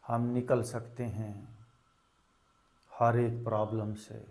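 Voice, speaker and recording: A man leading a guided meditation as a teacher, giving calming, deliberate instructions in short phrases with long pauses. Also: fairly low pitch.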